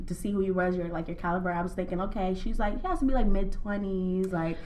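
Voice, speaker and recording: A woman co-hosting a casual podcast, talking in an enthusiastic and expressive way. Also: on the low side, quick pace, slight background noise. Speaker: podcast co-host.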